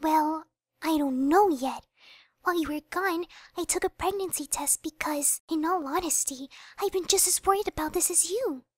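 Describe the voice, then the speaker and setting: A woman voicing a gentle, caring, worried young pegasus pony in an animated radio play, soft-spoken and earnest. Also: very high voice, moderate pace, no room sound. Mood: reassuring. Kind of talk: fan-made animated radio play acting.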